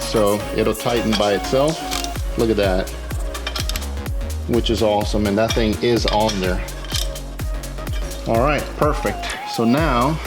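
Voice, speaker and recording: A man hosting a casual how-to video, thinking aloud informally as he works through an installation. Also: mid-range voice. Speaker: host of a car modification tutorial. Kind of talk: casual hands-on how-to narration while working.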